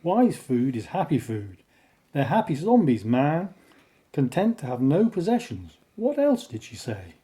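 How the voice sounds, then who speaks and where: A blunt, scornful sceptic in a radio play, acted by a woman. The tone is very dark and soft-edged, with animated, sardonic rises and falls. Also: low voice, measured pace, full character voice. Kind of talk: audio drama acting.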